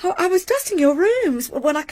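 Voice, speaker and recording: A woman's voice: very high voice, very animated, normal speed, a little mellow. An actress playing a prim, anxious, middle-aged landlady in a radio drama, easily flustered.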